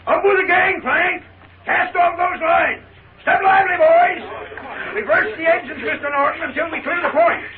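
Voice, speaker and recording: High, bellowing riverboat captain. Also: male voice, moderate pace, far from the mic, a loud crowd. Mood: bellowing, brisk. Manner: commanding, bellowing orders. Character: brisk commanding male riverboat captain. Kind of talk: 1940s radio drama acting. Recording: tinny, noisy 1940s radio recording.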